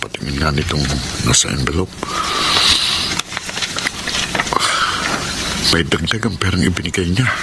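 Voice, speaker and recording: An actor playing an embittered, vengeful hired killer in a melodramatic Filipino radio drama, with a Filipino accent. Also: male voice, a bass voice, lively intonation, quick pace, gravelly, heavily acted. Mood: brooding. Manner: melodramatic, brooding monologues and heated outbursts. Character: embittered adult son turned hired killer, brooding and vengeful. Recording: very noisy radio drama recording.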